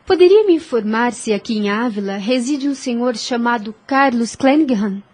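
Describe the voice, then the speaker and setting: Woman acting gentle young heroine, higher voice, breathy edge, formal sentimental delivery, audio drama. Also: expressive, normal speed. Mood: anxious. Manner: earnest, formal, sentimental diction. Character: gentle, devout, hopeful young woman in love. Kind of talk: Spiritist radio drama acting.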